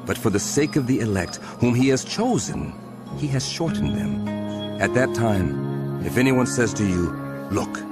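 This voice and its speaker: An actor playing a solemn teacher warning his followers, speaking with grave, emphatic and deliberate phrasing in a dramatic scripture reading. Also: medium pitch, expressive, close to an everyday voice. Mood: urgent warning. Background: music.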